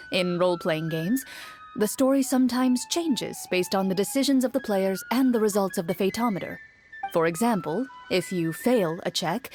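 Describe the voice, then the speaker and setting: A woman playing a composed game master who explains rules in a measured, instructive manner in an anime game. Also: medium pitch, a little mellow, natural voice.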